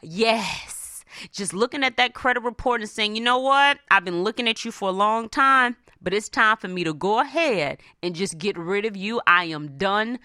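A woman's voice: mid-range voice, lively intonation, normal speed, sharp tone, dry recording, clean studio sound. A woman hosting a radio show, giving motivational money advice in an emphatic, conversational way, repeating phrases for emphasis. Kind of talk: motivational money talk, addressing listeners.

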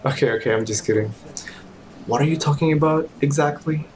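A man playing an easygoing, reassuring colleague in an audio drama, with a casual, understated, gently playful way of talking. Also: a little high, fairly quick, close to an everyday voice. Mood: puzzled, joking. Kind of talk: audio drama acting, naturalistic dialogue.

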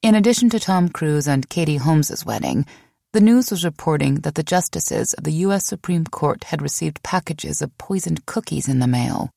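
Low-voiced woman narrating an audiobook. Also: animated, moderate pace, gravelly, warm tone, no room sound, clean studio sound. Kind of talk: scripted memoir narration.

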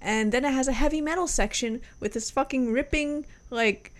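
A woman hosting a casual music review chat, relaxed and loose, swearing offhand between fillers. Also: high voice, moderate pace. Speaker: music review podcast host.

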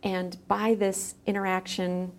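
A woman speaking as a podcast guest, explaining her creative work in casual conversation with halting phrasing. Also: mid-range voice, level tone. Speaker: podcast guest, musician and educator.

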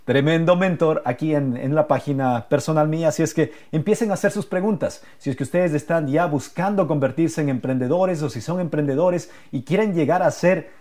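A man's voice: a little high, brisk, few pauses, dark tone. A man hosting a live motivational podcast, speaking earnestly and directly to the viewers. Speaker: podcast host.

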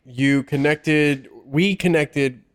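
Slow, smooth-voiced male host. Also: fairly high pitch. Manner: halting, repeats words while forming questions. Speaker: interview host. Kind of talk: casual interview.

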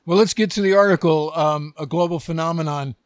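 A man's voice: fairly high pitch, fairly quick, no echo, clean studio sound. A man hosting a podcast, asking his guest questions in a casual, conversational way. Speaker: podcast host. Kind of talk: podcast interview.

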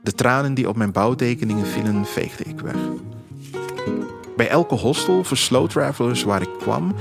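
A man reads a first-person story for an audio drama, with music playing clearly behind him. His delivery is measured and reflective, at a middling male pitch. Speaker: author reading own story. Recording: music under the voice. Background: music bed.

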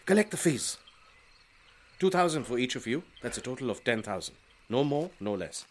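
A man playing a brisk, commanding people smuggler in an audio drama, giving curt orders. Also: medium pitch, big pitch swings. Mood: stern, urgent. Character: brisk, commanding smuggler running boat crossings to Europe.

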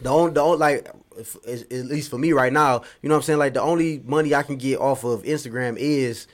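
A man in his early thirties talks quickly as an interview guest. His speech is relaxed and rambling, full of repeated phrases. He sounds laid-back in a casual conversation. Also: medium pitch. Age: 30s. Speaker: guest, comedian.

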